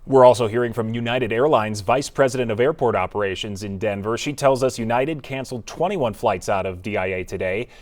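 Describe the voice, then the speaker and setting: A man's voice: lowish voice, fairly quick. Man reading a scripted TV news report.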